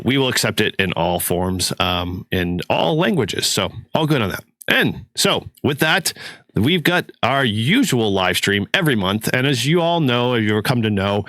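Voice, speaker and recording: A man with a raspy, hoarse voice from a cold, co-hosting a podcast in a loose, rambling way with lots of fillers. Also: mid-range voice, a bit bright, dry recording. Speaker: podcast co-host. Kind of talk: casual podcast chat.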